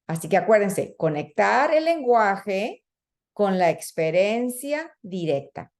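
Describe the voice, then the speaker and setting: A woman's voice: mid-range voice, sing-song, takes it slowly, a little mellow. A woman giving a conversational training talk to teachers, using fillers and acting out children and teachers. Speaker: early childhood educator and trainer. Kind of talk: professional development talk to teachers.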